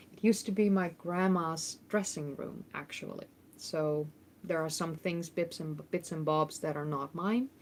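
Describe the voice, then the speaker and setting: Chatty Dutch woman, lowish voice. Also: a little hiss or hum. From Netherlands. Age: 30s. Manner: chatty, thinks aloud with many ums and restarts. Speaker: history YouTuber and host. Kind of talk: casual livestream Q&A, reading chat questions. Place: temporary room in a family home. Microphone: phone microphone.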